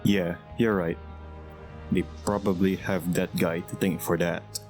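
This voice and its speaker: A man's voice: low voice, level tone, a little slow. A man hosting a gameplay video, commentating and reading the on-screen text aloud. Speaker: gaming video host. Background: game music.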